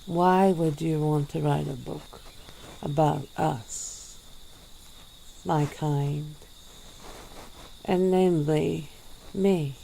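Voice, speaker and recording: A woman voicing a centuries-old female vampire, calm and regretful, speaking slowly and formally in an ASMR roleplay. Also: deep voice, gravelly, slight background noise, exaggerated voice, poor audio. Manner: slow, measured, formal speech.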